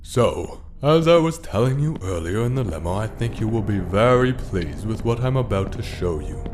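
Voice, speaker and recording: A man's voice: fairly low pitch, lively intonation, normal speed, a little mellow, a little hiss or hum, close to an everyday voice. A man playing a smug, scheming scientist businessman villain, speaking in a suave, wordy, theatrical and mocking way. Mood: reflective, smug. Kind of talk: audio drama villain acting.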